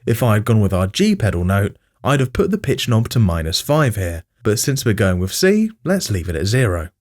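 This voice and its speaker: A man's voice: lowish voice, sing-song, normal speed, a little mellow. A man narrating a scripted music production tutorial as its host.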